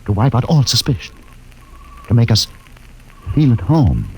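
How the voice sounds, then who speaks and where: An actor playing a haunted male ship's doctor in a 1950s radio drama, talking slowly and gravely. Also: mid-range voice, huge pitch swings, dark tone, heavily acted, poor audio. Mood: brooding. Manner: slow, grave, musing. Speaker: Doctor Horst.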